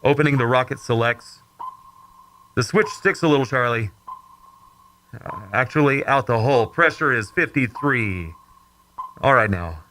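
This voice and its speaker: A man's voice: mid-range voice, lively intonation, a little slow, pauses often, bright, forceful tone, slight background noise, low-quality recording. An actor playing a bold, focused male rocket plane test pilot, giving clipped technical reports in old-time radio drama style.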